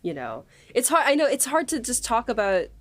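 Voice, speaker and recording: A woman co-hosting a podcast, talking casually and informally in halting phrases. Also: fairly high pitch, big pitch swings, fairly bright tone, dry recording. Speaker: writer and co-host of a behind-the-scenes podcast. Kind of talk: casual chat.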